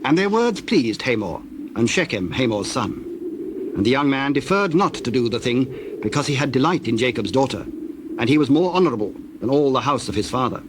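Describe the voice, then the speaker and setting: Male Bible narrator: medium pitch, solemn, measured, formal, with noticeable birdsong and dove noise behind. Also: very animated. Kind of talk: solemn scripted scripture narration.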